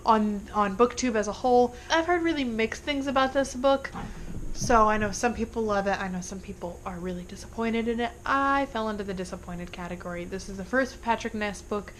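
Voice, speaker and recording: A woman's voice: medium pitch, fairly quick, a little hiss or hum, low-quality recording. A young woman with a congested voice, chatting casually through a monthly book wrap-up with rambling asides. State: congested from illness. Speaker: book vlogger.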